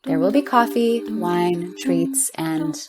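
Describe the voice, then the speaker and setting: A woman's voice: on the low side, slow pace, a loud crowd. A woman, hosting a podcast with both scripted introductions and conversational interviewing. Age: 40s. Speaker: podcast host.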